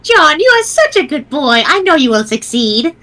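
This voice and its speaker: A woman's voice: high voice, very animated, moderate pace, bright, forceful tone, full character voice. A woman voicing a sweet old grandmother ghost in an amateur webcomic reading, riddling and doting in a theatrical, instructive way. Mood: fond.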